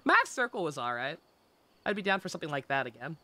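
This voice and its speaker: A bright, forceful voice with lots of movement in pitch, from a game streamer. The talk is casual live commentary, full of sarcasm, jokes and swearing. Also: very animated, fast talker.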